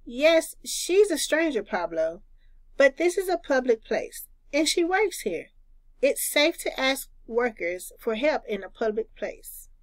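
A woman's voice: sits high, very animated, a little slow, dry recording, poor audio. A woman voicing a sensible older boy who patiently instructs, in a children's storybook. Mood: instructive. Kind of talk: children's storybook read-aloud.

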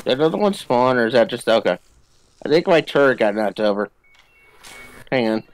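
A man's voice: mid-range voice, expressive, a little fast, a little hiss or hum, low-quality recording. Playful man gaming over gunfire. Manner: playful, jokey asides. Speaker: video game player. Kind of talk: casual co-op gameplay chat. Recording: game gunfire under the voice. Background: video game gunfire and ambience.

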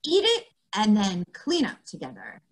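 A woman voicing a wise female rabbi who gives instructions in a measured, steady way. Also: mid-range voice, full character voice, rough recording. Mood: calm.

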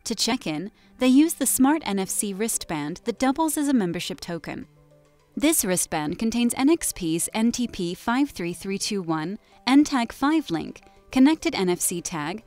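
A woman giving scripted corporate product narration in an even, measured and clearly enunciated way. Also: medium pitch. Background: soft background music.

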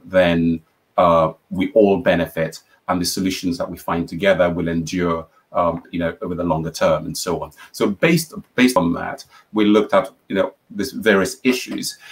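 A middle-aged man on a video call panel from a home office, explaining his ideas thoughtfully with frequent ums. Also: low-pitched. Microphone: webcam on a video call.

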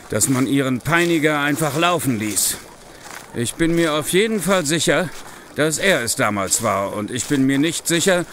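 An actor playing a suspicious, determined police investigator, measured and insistent, in a horror radio play. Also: male voice, medium pitch, fairly bright tone, slight background noise, lightly acted. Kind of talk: German horror audio drama acting.

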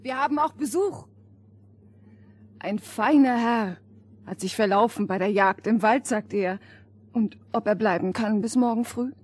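High-voiced, fussing mother. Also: a little slow, long pauses, darkish tone, slightly rough. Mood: affectionate. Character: homely, affectionate, fussing mother. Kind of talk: radio play fairy tale acting.

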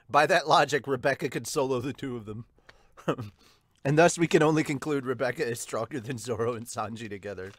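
A man's voice: medium pitch, no echo. A man in his thirties chatting casually as a manga reviewer and host, recorded close on a desktop mic at home. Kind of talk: casual chat, reading viewer comments. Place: small home room with bookshelves. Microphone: large desktop microphone with pop filter, close.

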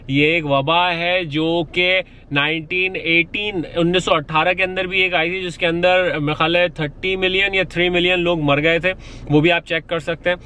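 A man's voice: a little high, pushed and bright. A man in his thirties from Pakistan, a rideshare driver giving earnest, pleading safety tips in a casual vlog, dropping English phrases into his talk, filmed in a parked car on a phone held at arm's length. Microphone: phone or camera at arm's length.

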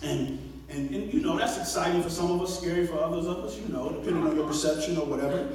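A man in his thirties preaching a sermon, building call-and-response, repeating phrases and rising to shouts as he paces with a handheld mic. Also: fairly high pitch, fast. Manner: pacing the stage with a handheld mic. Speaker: pastor. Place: large church sanctuary. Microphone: handheld mic, recorded from far off in the pews. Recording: echoey church hall from far off. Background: congregation responding.

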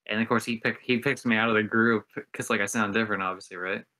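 A man's voice: fairly low pitch, steady tone, quick pace, bright, forceful tone. A young man hosting a podcast, laid-back and casual, telling anecdotes with some mild swearing. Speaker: podcast host.

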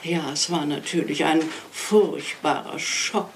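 An actress playing an older, chatty and fussy English spinster aunt in a German crime radio play, rambling effusively. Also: female voice, low voice, slow pace, a little hiss or hum. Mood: fussing.

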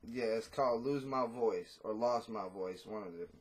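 A man's voice: fairly low pitch, normal speed. A man in his thirties, a rapper talking casually and bluntly in an interview, cursing freely, on a video call from a small room at home. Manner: blunt, profane, laid-back.

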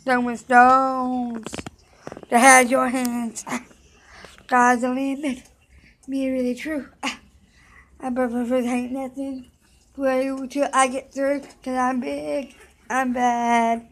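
A woman's voice: high voice, flat delivery, slow pace, long pauses, slight background noise. Young woman belting songs at a webcam. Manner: sings along loosely, shouting and chanting at times. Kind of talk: singing songs a cappella to a webcam. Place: indoor office room with desks and computers. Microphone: webcam at close range, with headphones on.

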